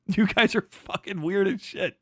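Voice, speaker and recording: A man's voice: high voice, measured pace, fairly bright tone, dry recording, studio quality. A man livestreaming combative, off-the-cuff reaction commentary, indignant and mocking, swearing heavily and repeating phrases for emphasis.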